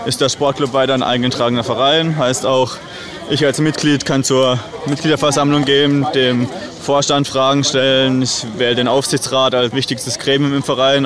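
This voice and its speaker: A man's voice: medium pitch, steady tone, brisk. A man in his twenties, a football fan explaining his initiative in an outdoor interview. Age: 20s. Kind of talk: interview answers. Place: outdoors at a crowded stadium fest. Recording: crowd noise behind, outdoors.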